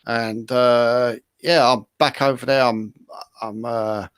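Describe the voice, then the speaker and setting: A man with an average-pitched voice, recorded dry. He co-hosts in a loose, casual, rambling way. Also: fairly even intonation, slow, smooth, no echo.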